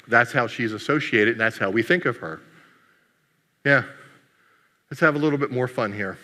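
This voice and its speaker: A man's voice: medium pitch, pushed and bright. A man giving a humorous keynote talk at a conference, joking with the audience. His first language is Greek. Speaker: conference speaker. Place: conference hall. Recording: long hall reverb.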